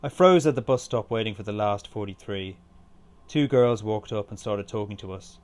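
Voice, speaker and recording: A man's voice: on the low side, normal speed, a little mellow. A man reading his own literary short story as scripted narration.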